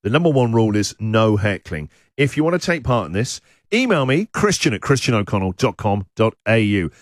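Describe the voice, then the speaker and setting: A man's voice: fairly low pitch, very animated, a little fast, no room sound, studio quality. A middle-aged male radio host, playful and giggly, reading out listener puns in comic banter.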